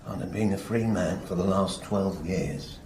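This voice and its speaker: An actor playing a haunted, middle-aged working-class man with an English accent and a husky, halting delivery in a naturalistic radio drama. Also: sits low, darkish tone, slight background noise. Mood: bitter, doubtful. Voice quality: husky, gravelly. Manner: halting, plain-spoken, working-class idiom.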